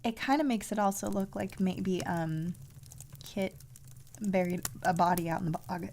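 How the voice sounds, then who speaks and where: A woman's voice: fairly low pitch, moderate pace. A woman giving casual let's-play commentary, muttering to herself in short fragments while getting over a cold. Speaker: host of a game playthrough. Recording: loud game music behind the voice. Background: video game music.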